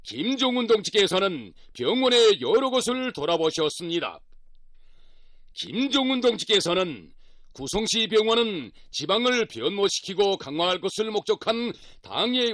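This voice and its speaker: A North Korean man with a notably high voice delivers a formal radio news script. His delivery is solemn and declamatory, full of reverence. The sound is very dry, with hardly any room around it. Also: fairly bright tone, dry recording.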